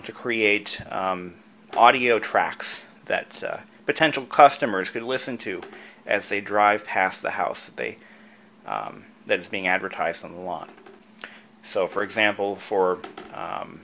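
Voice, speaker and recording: A man with a fairly low-pitched voice narrates a hobbyist how-to. He talks informally, like a host walking viewers through a project. His explanations are plain and conversational, with casual asides along the way. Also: a bit bright. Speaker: video creator and host, antique radio hobbyist.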